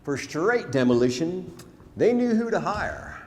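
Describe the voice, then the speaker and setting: A man's voice: fairly high pitch, very animated, a little slow. A man performing a rhymed narrative ballad as a storyteller, with dramatic, folksy, emphatic delivery in a theatre. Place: on stage in a theatre. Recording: hall reverb, noisy live theatre recording.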